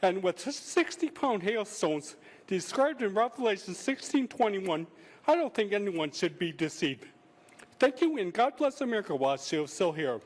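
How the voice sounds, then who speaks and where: A man with a speech impediment giving a prepared public statement, halting and sometimes stumbling over his words. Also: sits high, very animated, low-quality recording. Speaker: public commenter.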